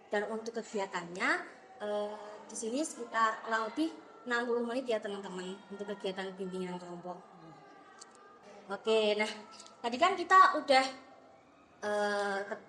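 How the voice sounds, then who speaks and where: A woman's voice: medium pitch, pushed and bright, slight background noise. A young woman, a counseling student, leading a group guidance session in a classroom, friendly and encouraging with many fillers. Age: young adult.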